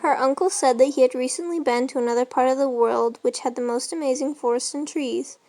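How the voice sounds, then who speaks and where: A woman's voice: high voice, brisk, warm tone. A woman reading a children's story aloud as narration. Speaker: storybook reader.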